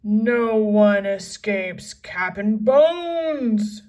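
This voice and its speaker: A woman's voice: mid-range voice, expressive, very slow, a little mellow, far from the mic. A woman voicing a big, mean, gold-greedy pirate captain in a children's storybook, roaring and growling out orders. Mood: menacing roar. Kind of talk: children's storybook read-aloud.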